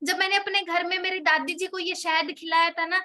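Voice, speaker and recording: Young woman, high and bright; persuasive, chatty seller who keeps asking the listener questions. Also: steady tone, brisk. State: slightly sore throat from a cold. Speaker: product seller explaining products. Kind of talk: persuasive product talk.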